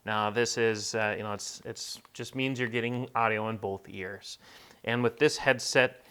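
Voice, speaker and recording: A man's voice: lowish voice, steady tone, unhurried, sharp tone, clean tone. A man giving casual, conversational unboxing commentary as a tech reviewer, explaining things as he goes.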